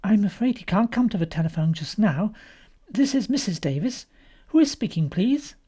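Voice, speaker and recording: A woman's voice: medium pitch, lively intonation, normal speed, mellow, breathy edge, pitched above the performer's own voice. A woman voicing an anxious, harried young wife who runs a guest house, polite and fretful in manner.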